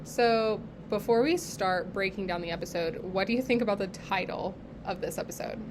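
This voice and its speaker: A woman's voice: mid-range voice, normal speed, noisy background. A woman co-hosting a podcast, recapping a TV episode in casual chat with lots of fillers, still getting over being sick. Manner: chatty, many fillers like "like" and "um". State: recovering from illness. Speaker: podcast co-host.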